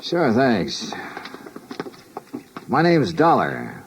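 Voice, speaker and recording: A man's voice: medium pitch, huge pitch swings, extremely slow, full character voice. An actor playing a hard-boiled, world-weary American investigator who narrates his case in clipped, wry 1950s radio drama style. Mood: probing, casual. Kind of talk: 1950s radio detective acting. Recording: noisy vintage 1950s radio recording.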